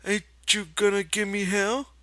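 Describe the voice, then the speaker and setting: A man voicing a big, childlike, simple-minded man who is pleading and excitable, whiny, with a rural American accent. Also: high voice, measured pace, no room sound, pitched above the performer's own voice. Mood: anxious pleading.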